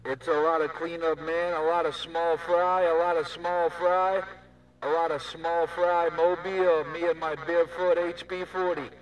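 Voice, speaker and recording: A man's voice: a little high, little pitch movement, moderate pace, recorded from a distance, rough recording. A man chatting casually in CB radio slang, repeating his catchphrases over and over. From Newark, New Jersey, USA. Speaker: CB radio operator.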